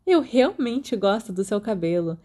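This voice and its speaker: A Brazilian woman voicing a playful, boastful teenage schoolgirl in an audiobook story, with a teasing, lively manner. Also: medium pitch, unhurried. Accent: Brazilian Portuguese.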